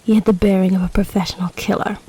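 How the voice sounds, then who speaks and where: Woman voicing a mellow, regal snake empress. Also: medium pitch, little pitch movement, fast, husky, rough recording. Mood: grave, calculating. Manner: formal, stately, dramatic phrasing. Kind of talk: roleplay audio drama.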